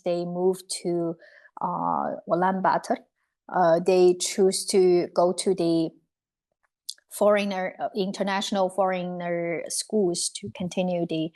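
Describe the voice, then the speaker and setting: A woman's voice: fairly low pitch, a little mellow. Hesitant woman host on a video call. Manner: halting, many fillers and restarts. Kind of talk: casual video-call discussion.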